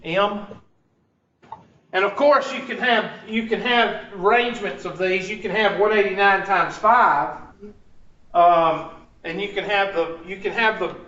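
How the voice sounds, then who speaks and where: Slow high-voiced man teaching math. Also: steady tone, bright, forceful tone. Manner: questioning, working problems aloud. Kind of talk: classroom lesson. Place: classroom. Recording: echoey classroom, dull far-off sound.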